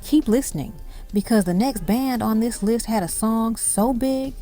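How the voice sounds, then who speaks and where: A woman's voice: a little high, measured pace, warm tone. A woman reading scripted documentary-style narration for a music countdown, in dramatic, punchy short phrases. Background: music behind the voice.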